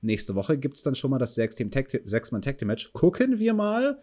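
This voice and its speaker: A man's voice: medium pitch, huge pitch swings, moderate pace, a little mellow. A man hosting a wrestling review podcast, recapping matches in casual chat and asking his co-host for opinions. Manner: recaps matches in detail, asks co-host questions.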